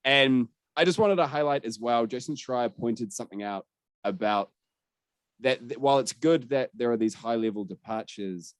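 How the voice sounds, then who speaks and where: A man's voice: medium pitch, normal speed, clean tone, dry recording. A man hosting a gaming podcast, talking through the news in a candid, sweary, conversational way. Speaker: podcast host.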